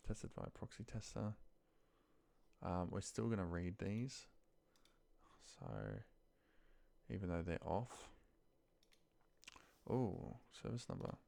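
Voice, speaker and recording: A man's voice: deep voice, big pitch swings, slow, gravelly, pauses often, dark tone. A man talking casually to himself as he works through a screen walkthrough, muttering and reading notes aloud. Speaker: office worker demonstrating data entry. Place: screen recording at a computer desk.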